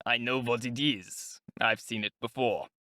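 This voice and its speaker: A man voicing a middle-aged civil servant who speaks calmly and mutters in an audiobook. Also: normal speed, dry recording, clean studio sound, natural voice. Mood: muttering. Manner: soothing, then low muttering.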